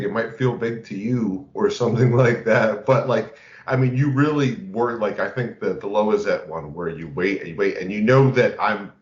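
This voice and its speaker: A man's voice: fairly low pitch, roomy sound, highs cut off. A man chatting casually as a podcast co-host about tabletop games, loosely rephrasing his thoughts as he goes.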